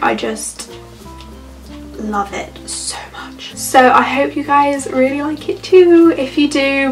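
A woman's voice: fairly high pitch, fairly bright tone. A young woman chatting through a makeup tutorial, sounding a bit stuffy from a cold. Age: young adult. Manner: chatty, bubbly, lots of "so yeah". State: congested from a cold. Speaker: beauty vlogger. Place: bedroom with clothes rail.

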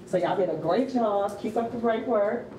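A woman's voice: medium pitch, normal speed. A woman giving informal feedback at a live event. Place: indoor rehearsal hall. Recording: echoey, distant, noisy room.

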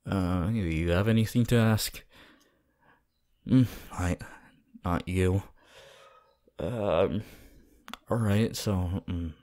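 A low-voiced, unhurried teenage anime boy, acted by a man, talks closely to the listener in an ASMR roleplay. He is laid-back and sceptical, teasing in a casual, halting way. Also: dark tone. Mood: playful, hesitant. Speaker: voice actor.

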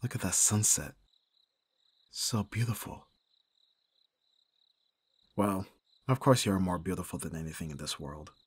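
A young male vampire boyfriend, acted by a man for an audio roleplay. His voice sits low and has a husky grain. He comes across as brooding and protective. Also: animated, a little slow, pauses often, exaggerated voice. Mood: tender, easygoing. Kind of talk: ASMR audio roleplay acting.

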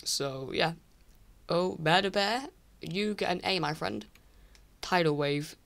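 A teenage boy from England ranking online creators in casual screen-recorded commentary, rambling and apologetic with many restarts, his voice croaky from recording late at night. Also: sits high, slow, fairly bright tone. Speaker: YouTuber. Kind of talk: casual tier list commentary.

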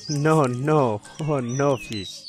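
A man giving excited, repetitive commentary by a village pond, recorded on a camera mic. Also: mid-range voice, extremely slow, over music. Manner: excitable, repeats short exclamations. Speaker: fishing channel host. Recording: noisy outdoor camera audio.

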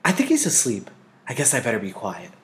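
A man's voice: mid-range voice, huge pitch swings, a little fast, fairly bright tone. A man voicing a chatty, giggly little girl in an audiobook, with an eager sing-song manner. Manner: sing-song, sighing, petulant.

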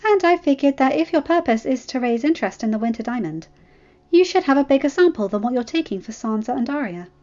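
A woman's voice: high voice, lively intonation, fast, a little mellow, voice pushed up. A woman voicing a playful, shrewd young noblewoman in a story, fond and assured. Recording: muffled lo-fi recording, music behind.

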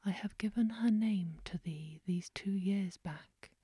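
A woman voices a magic trout that answers from the bottom of a well. It speaks in a slow, breathy whisper, solemn and knowing, with a dark, mellow tone. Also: mid-range voice, no room sound.

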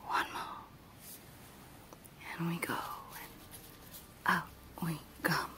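A woman voicing a sinister, coaxing evil clown dentist in a breathy ASMR horror roleplay whisper, slow and menacing. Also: low voice, flat delivery, pushed and bright, heavily acted. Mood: menacing, soothing. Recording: noisy close-up home recording.

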